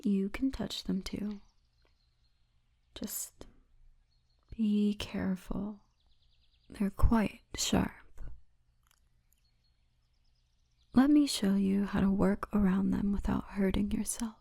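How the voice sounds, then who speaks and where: A woman voicing a big orc guardswoman, gentle, wry and commanding, in a hushed, breathy ASMR roleplay murmur. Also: medium pitch, steady tone, takes it slowly, long pauses, heavily acted. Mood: gentle, seductive.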